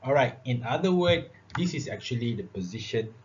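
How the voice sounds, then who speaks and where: Man, lecturer, halting with fillers, dull lo-fi sound, faint hiss. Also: mid-range voice, expressive. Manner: filler-heavy, repeats "all right" and "okay". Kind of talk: classroom lecture on public speaking. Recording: dull lo-fi recording with faint noise.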